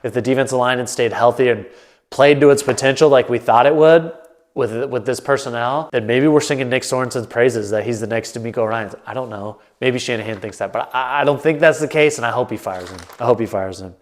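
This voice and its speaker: A man's voice: medium pitch, fairly quick. A man hosting his own sports talk show, stuffed up and a bit nasal, thinking aloud in a loose, rambling way to the camera. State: congested, nasal. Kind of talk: casual solo commentary to camera. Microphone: camera mic, solo setup.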